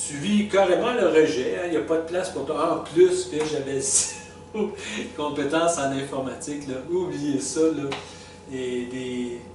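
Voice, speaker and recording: Québécois man in his sixties, fairly high voice, halting and chatty, stands back from the mic in a very echoey room. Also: distant mic. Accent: Québécois French. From Quebec. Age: 60s. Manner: halting, with frequent fillers, restarts and chuckles. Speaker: retired vlogger reflecting on life stages. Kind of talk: casual spoken reflection. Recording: echoey room, speaker well back from the mic, faint hiss.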